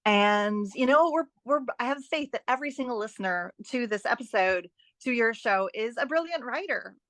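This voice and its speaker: A woman's voice: a little high, lively intonation, moderate pace, fairly bright tone, no echo. A woman speaking as a guest expert in a podcast interview, giving practical advice in an emphatic, encouraging way and repeating phrases for stress. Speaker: guest author and fiction editor.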